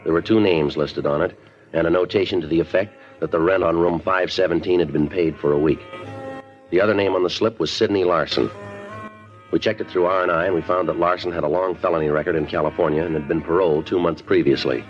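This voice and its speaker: An actor playing a terse, businesslike police detective in a 1950s radio crime drama, speaking in a clipped, matter-of-fact way. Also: male voice, deep voice, fairly even intonation, quick pace, faint music behind, close to an everyday voice. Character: businesslike, terse male police detective narrating his case. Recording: muffled 1950s radio recording.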